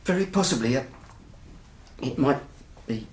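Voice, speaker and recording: An actor in a radio drama playing a distraught, terrified businessman, halting and stammering but formally polite. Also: mid-range voice, lively intonation, a little hiss or hum, natural voice. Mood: nervous, distracted. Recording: roomy, echoing interior.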